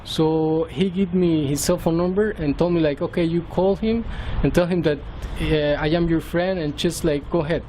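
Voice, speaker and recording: Mexican guy telling his story in english, lots of uh and restarts, kinda high voice, traffic noise outside. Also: steady tone, normal speed, few pauses, mellow, rough recording. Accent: Mexican Spanish. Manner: hesitant, many fillers and restarts. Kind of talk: personal testimony. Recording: outdoor traffic noise behind.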